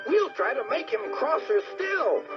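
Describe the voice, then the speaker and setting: A man's voice: extremely high pitch, very animated, measured pace, lo-fi sound, over loud music, pitched above the performer's own voice, full character voice. A man voicing a spiteful, self-important railway brake van in a children's story. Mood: spiteful glee.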